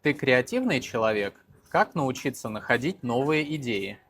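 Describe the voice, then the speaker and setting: A man acting as interviewer, reading out short questions in a plain, even way. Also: mid-range voice, normal speed.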